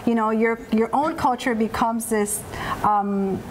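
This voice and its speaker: A woman's voice: fairly high pitch, a little slow, a little hiss or hum, rough recording. A woman from Miami, an arts district co-founder, speaking thoughtfully on a panel and often saying "you know". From Miami, Florida. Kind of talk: panel conversation.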